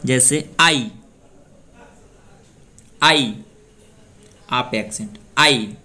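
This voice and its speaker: A young man from Bihar, India, teaching a pronunciation lesson and repeating each word slowly for practice. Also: medium pitch, pushed and bright. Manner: slow, repeats words drill-style. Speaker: language teacher.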